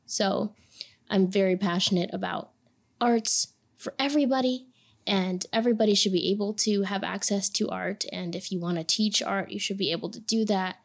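Woman from Puerto Rico, native Spanish speaker, passionate podcast guest with a mid-pitched voice. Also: moderate pace, gravelly. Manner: earnest, passionate, animated. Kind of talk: podcast conversation.

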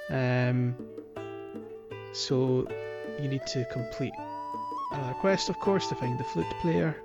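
A man co-hosting a podcast, chatting casually and explaining a video game playthrough in a halting way. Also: medium pitch, creaky. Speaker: podcast co-host. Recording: dull lo-fi audio, music underneath. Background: background music.

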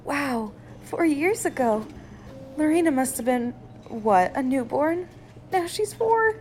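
A woman playing a caring, sensible scientist, wife and mother in an audio drama, with a gentle, earnest and expressive delivery. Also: high voice, slow, slight background noise. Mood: fond.

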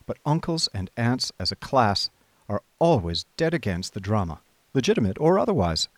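An actor playing an idle, chummy young upper-class gentleman, narrating a radio drama in breezy, wordy old-fashioned slang. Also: medium pitch, sing-song, normal speed, no room sound. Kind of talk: scripted first-person radio drama narration. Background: music.

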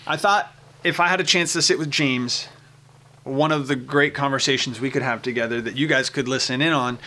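An American man hosts in a bright, forward voice. He presents and interviews conversationally, with an informal, enthusiastic manner and a middle-range pitch. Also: brisk. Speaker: show host.

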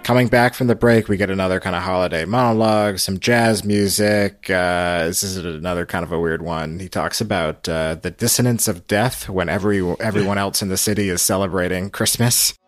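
A man with a low voice hosts a recap podcast in casual, rambling talk. He feels his way through sentences, and the sound is dry and close. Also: fairly even intonation, a little fast, few pauses, no room sound, faint music behind. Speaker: podcast host.

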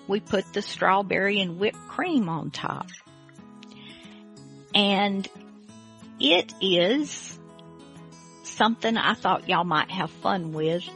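Slow-talking woman, lowish voice. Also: a bit bright. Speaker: host. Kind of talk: casual radio show hosting.

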